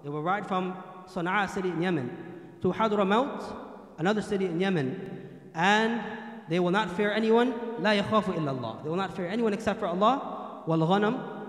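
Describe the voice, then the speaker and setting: A man's voice: high voice, quick pace. A young man preaching a Friday sermon as imam into a standing mic in a mosque hall, quoting Arabic passages and translating them into English. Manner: quotes Arabic hadith then translates into English. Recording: echoey hall.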